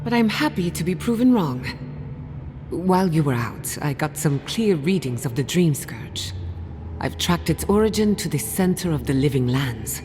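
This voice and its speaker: A woman playing an inquisitive, wry scholar in a fantasy video game, speaking in an analytical, expressive way. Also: low-pitched, normal speed. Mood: relieved, brisk. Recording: reverberant hall, music underneath. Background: music.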